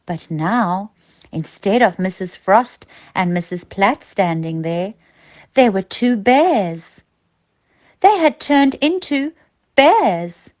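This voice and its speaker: A woman narrating a children's story as a storyteller, expressively and with dramatic emphasis. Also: fairly high pitch.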